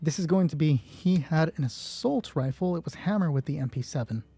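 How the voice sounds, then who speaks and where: A man's voice: a little high, animated, a little fast, mellow, husky. A man game mastering a solo roleplaying game, thinking aloud through dice rolls in casual, sweary commentary.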